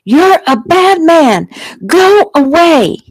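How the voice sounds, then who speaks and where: A woman narrator plays a terrified little girl in an audiobook. The child's voice is shrill and far higher than adult talk, screaming and crying out in defiance. The sound is close and dry. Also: sing-song, slow, dry recording, exaggerated voice. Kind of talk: audiobook character acting.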